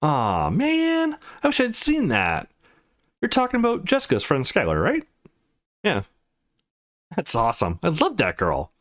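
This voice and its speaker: A man's voice: on the high side, huge pitch swings, measured pace, pauses often, a bit bright, pitched above the performer's own voice, exaggerated voice. A man voicing a laid-back but defiant teenage schoolboy with a casual, slangy manner in a visual novel. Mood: cocky.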